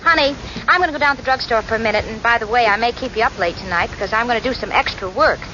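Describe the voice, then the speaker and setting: Wry, level-headed working girl, high forceful voice, crisp, 1940s radio sitcom, muffled slightly noisy recording. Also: racing. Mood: dry, teasing. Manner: crisp, dry, wisecracking. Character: sensible, wry working-girl secretary and roommate. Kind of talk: 1940s radio situation comedy acting and narration.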